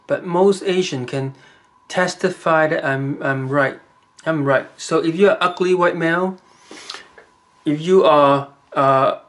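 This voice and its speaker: A man with a Chinese accent, giving rambling, halting advice to the camera in a casual vlog, with many fillers and restarts. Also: fairly high pitch, a bit bright. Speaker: vlogger.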